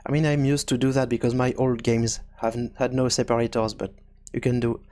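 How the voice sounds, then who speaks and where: Guy doing an unscripted software tutorial, medium pitch, explains stuff haltingly w lots of pauses mid sentence. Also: level tone. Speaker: tutorial presenter.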